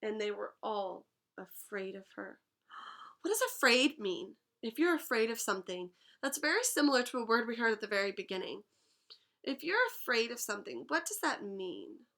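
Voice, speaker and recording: A young woman teaching a class, reading a picture book aloud and pausing to explain words and ask questions. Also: on the high side, very animated, gravelly, fairly bright tone. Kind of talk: read-aloud storytime lesson.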